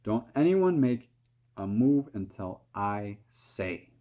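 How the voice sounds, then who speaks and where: A man's voice: lowish voice, big pitch swings, slow, lo-fi sound. A man voicing a fussy, anxious head of the fire department who talks in a blustering way. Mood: bossy.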